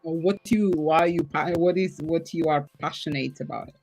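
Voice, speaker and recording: A woman's voice: deep voice, normal speed, a little mellow, soft background music, low-quality recording. A woman from Portugal hosting a casual podcast interview, enthusiastic, laughing often, her English sometimes slipping. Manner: enthusiastic, laughs often, speaks non-native English with slips. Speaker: podcast host.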